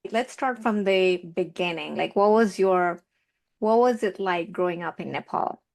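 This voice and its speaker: A woman's voice: lowish voice, unhurried, vocal fry, a little mellow. A woman hosting a podcast, asking questions in a casual, conversational interview style.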